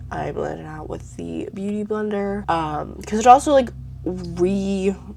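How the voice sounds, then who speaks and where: A teenage girl talking through her makeup routine in a casual, chatty way, her voice hoarse from a cold. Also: medium pitch, expressive, unhurried, warm tone, slight background noise. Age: teens. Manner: chatty, filler-heavy, self-mocking. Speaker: beauty vlogger.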